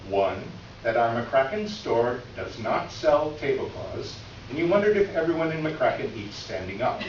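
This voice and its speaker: A man playing a condescending, officious department store official, reading a letter aloud on stage in a formal, sarcastic manner with deliberate emphasis. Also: medium pitch, close to an everyday voice. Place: live reading in an echoey room. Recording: distant echoey room, muffled, faint noise.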